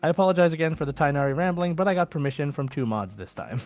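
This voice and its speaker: A man's voice: a little high, expressive, quick pace, darkish tone, faint music behind. A man hosting a podcast in casual chat, reading out listener messages with informal, goofy asides. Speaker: podcast host.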